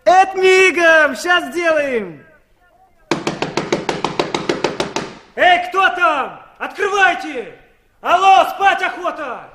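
High-pitched cocky young dandy. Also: male voice, animated, slow pace, bright, forceful tone, a little hiss or hum, full character voice. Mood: loud, impatient. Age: young adult. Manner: breezy, teasing, boastful. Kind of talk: 1960s Soviet radio play acting.

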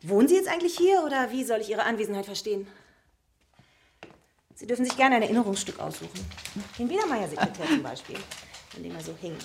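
An actress playing a chic, haughty young niece in a radio drama, speaking in an imperious, condescending, curt way. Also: female voice, fairly high pitch, sing-song, fast talker, creaky, long pauses, slight background noise. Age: young adult.

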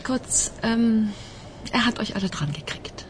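A woman's voice: fairly high pitch, animated, a little slow, background noise. A woman playing a middle-aged police detective in a radio crime drama, matter-of-fact, wry and unyielding. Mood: probing, casual. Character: dry, unyielding female Moscow police detective.

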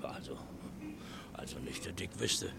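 An actor playing a chatty but cautious bar regular in a German radio play, colloquial, wry and gossipy. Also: male voice, deep voice, expressive, a little slow, vocal fry, in a whisper, full character voice. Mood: wary.

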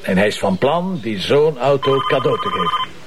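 A man acts as a Flemish police commissioner in a television crime drama. He is brisk, impatient and used to giving orders, with a medium-pitched voice over faint room ambience. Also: big pitch swings, background noise, lightly acted. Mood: businesslike. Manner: brisk, bossy, curt. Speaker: actor.

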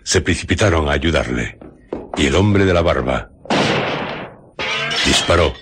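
Very deep male radio narrator. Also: expressive, unhurried, a bit bright, a little hiss or hum. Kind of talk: scripted radio drama narration.

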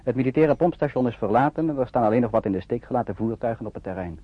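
A husky-voiced male narrator played by an actor tells a wartime story for a radio play. His delivery is measured and literary, and the old recording sounds dull and muffled. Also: mid-range voice, no room sound, lightly acted. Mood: narrative, suspenseful.

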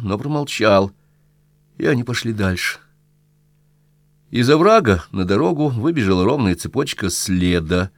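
Guy reading an audiobook novel, lowish voice, really dry recording no room sound. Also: no room sound. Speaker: audiobook narrator. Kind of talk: scripted literary narration.

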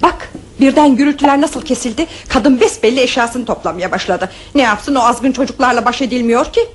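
An actress playing an excitable, nosy wife and neighbour in a radio play, fussy and exclaiming. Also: female voice, high voice, a little fast, lightly acted. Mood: agitated, gossipy. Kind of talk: Turkish radio theatre acting. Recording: noisy old radio drama recording.

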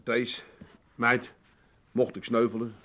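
A man's voice: mid-range voice, level tone, slow, fairly bright tone. An actor playing a harried, stubborn middle-aged man in a comedy radio play, stammering when flustered. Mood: grumbling, resigned. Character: harried working-class husband and son, middle-aged, excitable, stubborn.

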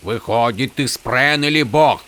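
A man's voice: mid-range voice, a little slow, pitched above the performer's own voice, close to an everyday voice. A man voicing a desperate, defiant warrior prince in a fantasy audiobook, crying out to a god in impassioned demands and pleas. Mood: demanding. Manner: shouting, pleading, impassioned.

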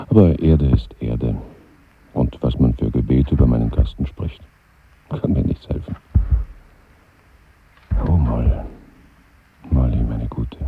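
An actor in a radio play voicing a middle-aged dead man who speaks wearily from his grave, husky and at times nearly whispering. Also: exaggerated voice. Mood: resigned, then wistful, regretful. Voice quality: very deep, gravelly, husky, often fading into whisper. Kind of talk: intimate 1970s radio play monologue. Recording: muffled, phone-like 1970s radio recording.

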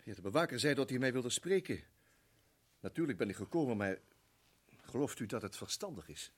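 A husky, evasive suspect. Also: male voice, mid-range voice, lively intonation, a little fast. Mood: polite. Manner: polite then flustered, stammering. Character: smooth-talking man hiding guilt, defensive. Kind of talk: radio drama acting.

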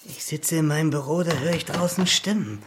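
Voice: medium pitch, brisk, natural voice. Actor as smitten young man, intense, intimate confiding, radio-play flashback, clattering dishes and noise behind. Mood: awestruck, in love. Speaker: actor in a radio crime drama.

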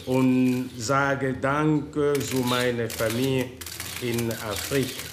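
An actor playing an earnest Senegalese striker in a radio drama, speaking halting, broken German. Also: male voice, mid-range voice, flat delivery, reverberant, noisy background, natural voice. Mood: solemn, grateful. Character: earnest Senegalese football striker giving a thank-you speech.

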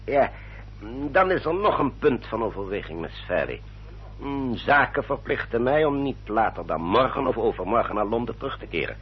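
An actor playing a courteous English family solicitor in a radio drama, speaking in a formal, measured, explanatory manner. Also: male voice, medium pitch, breathy edge, full character voice. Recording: dull, muffled old radio recording.

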